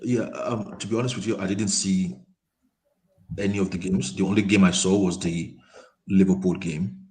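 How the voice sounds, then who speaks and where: A man with a very low voice talks football in a relaxed chat. His speech halts often, full of repeated words and ums. He comes through a quiet microphone on an online call. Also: moderate pace. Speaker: panel guest. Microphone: remote call mic, quiet.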